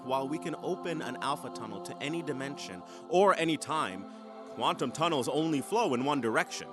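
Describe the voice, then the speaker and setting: Guy doing a smooth scheming mission boss, theatrical and lively, big music bed, radio drama. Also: mid-range voice, a little fast, lightly acted. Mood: grave, persuasive. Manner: authoritative, theatrical. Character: commanding, smooth-talking mission leader with hidden scheme. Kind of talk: sci-fi audio drama acting.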